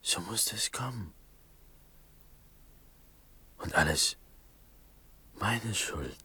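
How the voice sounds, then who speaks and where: An actor playing a bitter, stubborn village potter in a radio drama, husky and breathy, brooding and halting. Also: male voice, lowish voice, animated, bright, forceful tone, exaggerated voice. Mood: bitter, despairing.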